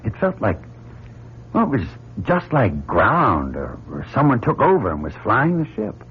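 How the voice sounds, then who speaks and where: An actor playing an earnest, rattled astronaut pilot in a vintage radio drama, with a husky, rough voice. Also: male voice, fairly low pitch, huge pitch swings, normal speed, slight background noise. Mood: shaken. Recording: muffled old radio recording.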